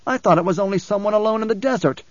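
A man's voice: high-pitched, animated, measured pace, no echo, voice pushed up. A superstitious young desert guide, played by a male narrator in an audiobook, anxious and full of protest. The voice sits well above a man's normal talk, recorded dry and a little dull. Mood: fearful, defensive.

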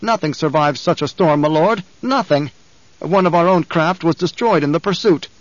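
A man voicing a nervous, flustered male guard captain who answers in a hurried, deferential way. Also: on the high side, no echo, pitched above the performer's own voice, natural voice. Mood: anxious. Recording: dull, dry studio recording.

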